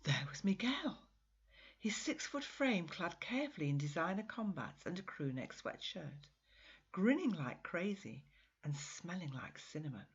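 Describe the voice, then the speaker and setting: A woman author reading her own short story in an expressive, measured, dramatic literary narration. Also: lowish voice, breathy edge, lo-fi sound.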